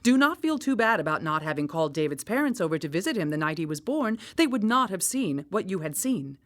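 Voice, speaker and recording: An actress playing a bluntly sarcastic woman who delivers grim findings with clinical, deadpan irony in a radio drama. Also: fairly low pitch, fairly quick. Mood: clinical, sardonic.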